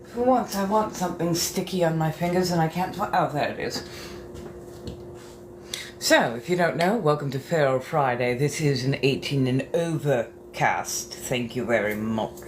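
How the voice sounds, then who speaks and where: A woman's voice: deep voice, normal speed. A woman hosting a casual livestream from a bathroom as she gets ready. She talks in a flirtatious, theatrical way and calls her viewers darling. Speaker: livestream host. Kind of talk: casual livestream chat while getting ready. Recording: clear background noise.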